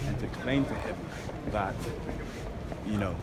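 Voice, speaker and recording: A man's voice: on the low side, measured pace, vocal fry. A man chatting casually as a street evangelist. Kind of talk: casual chat and street preaching. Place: outdoors on a city street. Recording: loud noisy outdoor city street.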